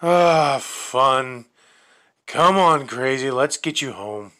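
A man's voice: medium pitch, expressive, takes it slowly, sharp tone, pitched above the performer's own voice. A man voicing a weary, casual young everyman in an audio drama.

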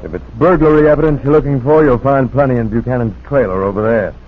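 A man's voice: medium pitch, fast, natural voice. An actor playing a grim, folksy rural lawman in a 1940s radio drama. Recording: muffled, narrow-band vintage radio recording.

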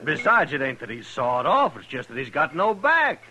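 An actor playing a mocking cowboy bully with a falsely friendly drawl in an old-time radio western. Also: male voice, a little high, big pitch swings, moderate pace, bright, forceful tone, exaggerated voice. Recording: dull old radio recording.

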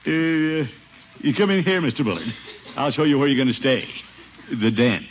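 An actor playing a blustery, pompous middle-aged uncle, grumbling and defensive, in a 1950s radio comedy. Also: male voice, medium pitch, animated, normal speed, natural voice. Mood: hospitable. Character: blustery middle-aged uncle and water commissioner, flustered host. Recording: muffled, noisy 1950s radio recording.

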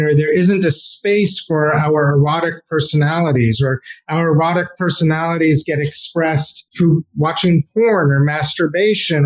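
A man with a somewhat high voice answers interview questions at length. He explains patiently and often repeats words and fills pauses as he thinks. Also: low-quality recording. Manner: thoughtful, explanatory, frequent repeated words and fillers. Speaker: sex therapist guest.

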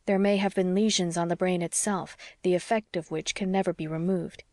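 A woman voicing an earnest, stubborn young male doctor who reasons in a measured, formal way, as in an audiobook. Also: lowish voice, fairly even intonation, a little mellow, dry recording, clean studio sound, natural voice. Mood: firm, reasoning. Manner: measured, formal, slightly stiff. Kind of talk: audiobook character dialogue.

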